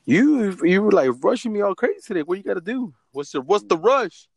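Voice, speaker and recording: A man's voice: high-pitched, lively intonation, brisk, gravelly, no room sound. A man co-hosting a casual podcast who fires off teasing comebacks and jokes. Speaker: podcast co-host.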